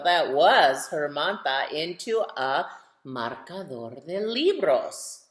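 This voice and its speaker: A woman reading a picture book aloud to children, expressively, putting questions to her young listeners. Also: fairly low pitch. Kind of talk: storytime read-aloud for children. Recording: roomy, echoing sound.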